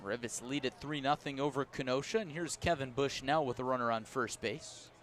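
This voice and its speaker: A man calling live baseball play-by-play for radio from an outdoor ballpark, in measured, descriptive short phrases. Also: mid-range voice, a bit bright, a little hiss or hum. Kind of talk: live radio sports commentary.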